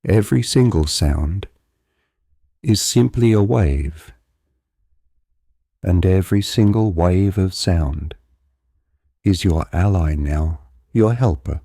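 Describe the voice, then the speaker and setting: A man guides a relaxation exercise in a low, warm voice. His delivery is very slow, calm and deliberate, with a gentle hypnotic flow. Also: no echo, studio quality. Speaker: guided visualisation narrator. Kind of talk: guided meditation, soothing hypnotic narration.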